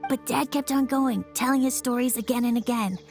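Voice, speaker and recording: High-voiced earnest little girl. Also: fairly even intonation, brisk, darkish tone, soft background music. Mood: nostalgic, earnest. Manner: childlike, hesitant, sincere. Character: earnest, gentle young daughter, a little girl storyteller. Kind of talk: video game voice acting.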